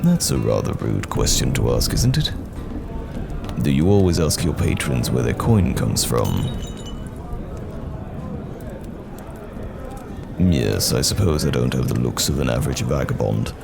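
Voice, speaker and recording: A man acts out a roaming fantasy knight with an extremely low voice that moves expressively. He is courteous yet guarded and wry, choosing old-fashioned words with care. Music plays behind him in this audio roleplay. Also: big pitch swings, moderate pace, creaky, full character voice.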